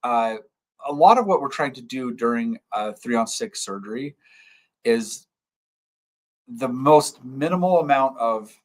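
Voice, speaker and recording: A man's voice: medium pitch, animated, a little slow, long pauses. A man, a dentist hosting a live stream, explaining in casual chat and repeating key terms to keep things simple.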